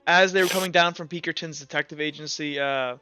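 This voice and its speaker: A man acting as game master, narrating and improvising in a casual, halting, conversational way. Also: a little high, steady tone, bright, forceful tone, soft background music.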